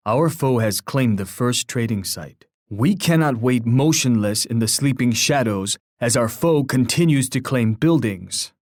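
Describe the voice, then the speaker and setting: Guy doing a solemn proud war chief for a strategy game, formal poetic speeches, mid pitch, really dry recording. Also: darkish tone, no echo, close to an everyday voice. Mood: grave warning. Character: proud, solemn Native war leader and ally. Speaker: video game character voice actor. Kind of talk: scripted strategy game voice lines.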